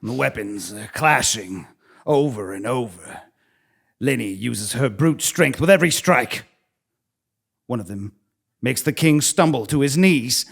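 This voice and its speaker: A man's voice: mid-range voice, expressive, measured pace, gravelly, pauses often, fairly bright tone, husky, heavily acted. A man acting a nervous, bumbling court jester in a comic stage play, hesitant and theatrical. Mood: mystical storytelling.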